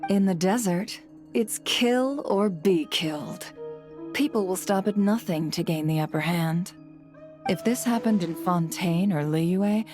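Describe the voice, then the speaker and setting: A woman playing a composed, worldly storyteller in a video game, speaking in a measured, coolly wry manner. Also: mid-range voice, darkish tone, close to an everyday voice. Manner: measured, poised. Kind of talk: video game character acting.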